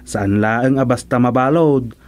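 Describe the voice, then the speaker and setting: Man playing a slow, brooding village man. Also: mid-range voice, a little mellow, dry recording, lightly acted. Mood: concerned. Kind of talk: Ilocano radio drama comedy acting.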